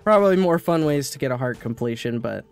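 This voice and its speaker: A man's voice: medium pitch, expressive, quick pace, clear, resonant tone, soft background music. A man streaming a game, rambling casually to his viewers while he plays, sniffly with a cold. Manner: chatty, rambling, talks to chat. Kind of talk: casual gameplay commentary. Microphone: desk mic.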